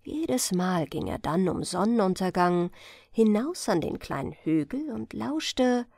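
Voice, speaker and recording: A woman narrating a fairy tale as a gentle bedtime audiobook reading. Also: mid-range voice, sing-song, creaky, no room sound, studio quality. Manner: slow, melodic storytelling.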